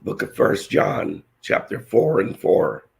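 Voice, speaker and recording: A man with a hoarse, nearly lost voice, slowly reading Bible verses and teaching a scripture lesson with halting restarts. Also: on the low side, very animated. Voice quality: raspy, gravelly.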